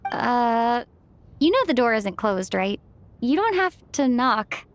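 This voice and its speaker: An actress playing a kind, easygoing young female character in a video game, speaking casually and gently. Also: a little high, very animated, slow. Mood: puzzled, helpful.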